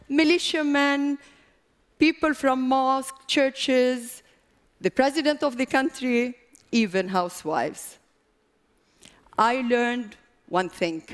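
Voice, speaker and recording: Slow, deliberate Lebanese woman in a reverberant hall. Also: sits high. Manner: deliberate, measured, short emphatic phrases with pauses. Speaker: public speaker, marathon organizer. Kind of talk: prepared motivational stage speech.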